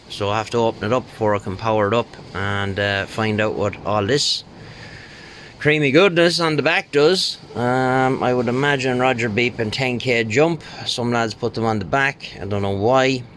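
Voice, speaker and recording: An Irish man talking casually through a repair job, thinking aloud in an unhurried, colloquial way. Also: medium pitch. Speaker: radio repair technician. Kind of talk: casual spoken bench walkthrough. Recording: steady background noise, bench clicks.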